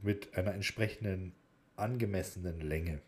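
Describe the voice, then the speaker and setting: Low-voiced man, jokey podcast co-host. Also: normal speed, a little mellow. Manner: jokey, teasing. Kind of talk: casual banter.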